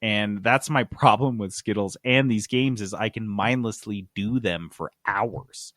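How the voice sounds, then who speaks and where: A man with a fairly low voice talks in an informal, jokey podcast style. He sounds like a host keeping a casual chat moving, recorded dry with little room sound. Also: a little slow, a bit bright, no room sound. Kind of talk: casual chat about games and candy.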